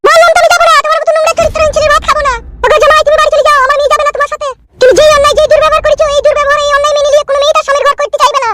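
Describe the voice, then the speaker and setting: A man's voice: extremely high pitch, level tone, rapid-fire, few pauses, very noisy. Piercing, indignant young cartoon woman. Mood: outraged. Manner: scolding, outraged. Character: shrill, indignant young Bengali wife and her womenfolk.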